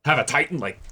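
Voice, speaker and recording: A man hosting a casual reaction show, commenting live in an exclamatory, excitable way and swearing freely. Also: a little high. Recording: loud show soundtrack behind voice. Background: music from the watched show.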